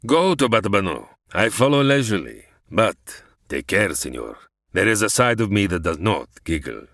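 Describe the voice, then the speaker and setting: Casting: actor as smarmy Cuban male police officer, accented, slightly low and very inflected, politely menacing, audio drama. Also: big pitch swings, moderate pace, fairly bright tone, no room sound, studio quality, full character voice. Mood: quietly menacing. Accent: Cuban Spanish. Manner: giggling, leering, polite menace. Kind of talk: comedy audio drama acting.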